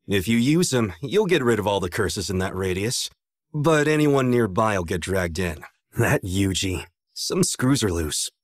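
A man's voice: medium pitch, dry recording, studio quality. A man voicing a cocky, playful young sorcerer teacher in an anime dub, with a breezy, teasing, theatrical manner. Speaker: voice actor in an English anime dub. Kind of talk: animated dub dialogue.

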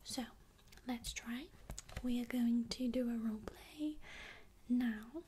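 A young woman whispers close to the mic in a breathy, high voice, taking her time over every phrase. She teaches ASMR calmly and reassuringly, checking in with the listener again and again. A faint hiss sits under the recording. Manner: calm, slow, reassuring, frequent "okay?" check-ins. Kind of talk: ASMR tutorial, whispered and soft-spoken.

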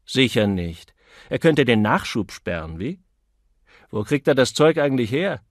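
A man's voice: mid-range voice, big pitch swings, unhurried, no room sound, voice pushed down. A man voicing a cool, enigmatic man who teases in a dry, condescending way, as an audiobook character. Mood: dry, probing. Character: cool, mocking, enigmatic man toying with his listener.

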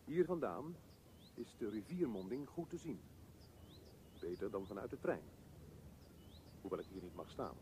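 A man's voice: lowish voice, sing-song, moderate pace, long pauses, dark tone, slight background noise. An actor playing a brooding, restless man thinking aloud in a radio drama, with theatrical, broken phrasing. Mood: brooding, musing.

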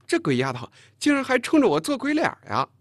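一位男演播者在有声书里演一个爱捉弄人、又爱发号施令的年轻女同事，时而撒娇，时而数落。. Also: male voice, sits high, sing-song, husky, no echo, pitched above the performer's own voice, full character voice. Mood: huffy, scolding. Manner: mocking, quick to pout and scold. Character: brash teasing young woman colleague, playful and bossy. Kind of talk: audiobook character dialogue.

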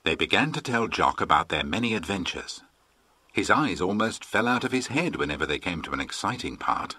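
A British man with a husky voice, reading scripted narration for a children's audiobook. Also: deep voice, big pitch swings, fairly quick, pushed and bright, full character voice.

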